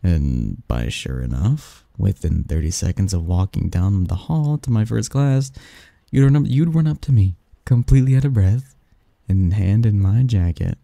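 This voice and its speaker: A man playing a snarky young research assistant who fondly teases a friend in a halting, wordy, intimate ASMR roleplay monologue. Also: lowish voice, expressive, creaky, mellow, dry recording. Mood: teasing, fond. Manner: halting, sighing, teasing, wordy.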